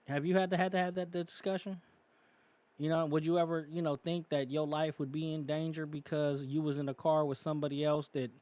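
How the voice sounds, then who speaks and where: A man's voice: fairly high pitch, darkish tone, no echo. A man from California co-hosting a podcast, talking in a casual, opinionated way with many fillers and restarts. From California, United States. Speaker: podcast co-host.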